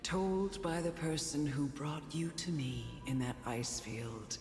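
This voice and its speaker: A woman playing a calm, composed storyteller in a video game drama, gently formal and deliberate. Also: sits low. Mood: measured. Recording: echoey hall reverb, music underneath. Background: game music.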